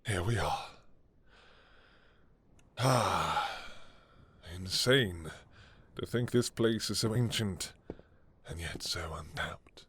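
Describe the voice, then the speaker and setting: A man voicing an old, once-mad dragon king who is possessive and doting, speaking slowly with a tender, teasing manner in an audio roleplay. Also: low-pitched, animated, fairly bright tone, husky, full character voice. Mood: playful.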